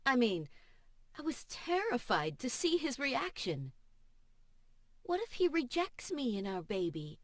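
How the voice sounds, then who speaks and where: A woman audiobook narrator reading first-person fiction in an expressive, emotive and deliberate way. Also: high-pitched, dry recording, clean studio sound. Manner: emotive, measured, deliberate delivery. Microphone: studio voice-over microphone.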